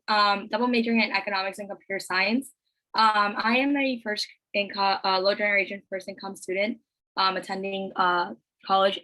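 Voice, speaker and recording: Bright teen girl losing her voice. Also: medium pitch, low-quality recording. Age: teens. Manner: hesitant, apologetic. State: losing her voice, clearing throat. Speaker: panelist. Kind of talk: self-introduction.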